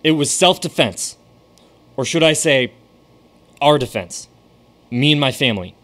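A man's voice: on the high side, animated, measured pace, a bit bright. An actor playing a famous stage magician with a secretive showman's flair in a radio drama. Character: famous stage magician, now a ghostly guide.

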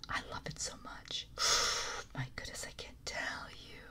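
A woman streamer whispering softly in an intimate ASMR livestream, with a raspy, deep voice and frequent giggles. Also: unhurried.

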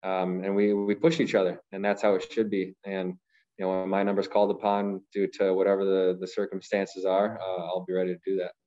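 A man in his thirties from Ohio, a professional goalkeeper answering press conference questions in a measured way, often repeating words as he thinks. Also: low-pitched, completely flat delivery, warm tone. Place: small room, branded backdrop. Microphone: video call, masked. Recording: slightly dull lo-fi audio.

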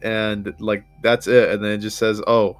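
A man's voice: lowish voice, little pitch movement, normal speed. A man co-hosting a casual discussion, talking informally with lots of "like" and some swearing. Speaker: co-host of a horror discussion show. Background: music behind the voice.

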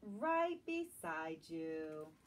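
A woman voicing a caring mother bear from a storybook, with sing-song, drawn-out calls. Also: sits low, big pitch swings, background noise, pitched below the performer's own voice, full character voice. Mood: reassuring. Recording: distant mic in a room.

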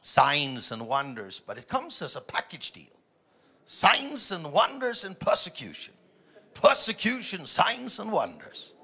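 Animated high-pitched male preacher, native German speaker. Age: middle-aged. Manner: emphatic delivery with dramatic swings from hushed asides to shouted phrases. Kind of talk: sermon. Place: large church auditorium stage before a seated audience. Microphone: handheld microphone.

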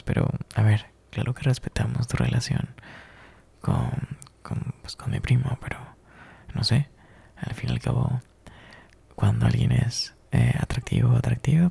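A man doing an intimate ASMR roleplay close to the mic, breathy and mostly whispered, with a flirtatious, teasing, hesitant delivery. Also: medium pitch, mellow, dry recording. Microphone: close ASMR microphone.

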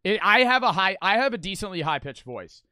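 A young man streaming casually, talking fast and animated with lots of swearing, close to the mic. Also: high-pitched, smooth, dry recording.

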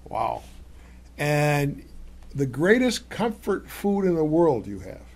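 Older male host, raspy and rough, enthusiastic, rambling, studio chat. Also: a little high, big pitch swings, slow, a little mellow. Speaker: cooking show host. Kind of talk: casual chat. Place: studio set, seated on leather couch.

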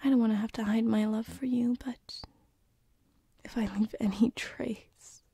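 A woman playing a tender, lovestruck succubus-turned-sleep paralysis demon in an ASMR roleplay, with a soft, breathy whisper and an intimate, hesitant manner. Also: fairly high pitch, steady tone, dry recording, clean studio sound, heavily acted. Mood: anxious, loving. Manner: intimate, hesitant, slow and soothing. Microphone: close binaural microphone.

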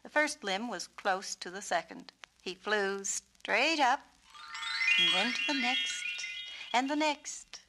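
A woman narrating a children's storybook on a 1970s record, with expressive, deliberate phrasing. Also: mid-range voice, over loud music. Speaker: author and narrator.

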